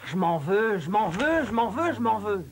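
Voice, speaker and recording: A man's voice: high voice, very animated, moderate pace, slight background noise. A man playing an earnest, driven young male champion skier in a cartoon dub. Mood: frustrated, troubled. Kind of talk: French cartoon dub acting.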